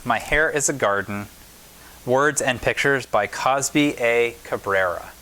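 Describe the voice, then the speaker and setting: A man reading a children's picture book aloud as a pastor at storytime, in measured short phrases with pauses. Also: medium pitch, bright, forceful tone, rough recording.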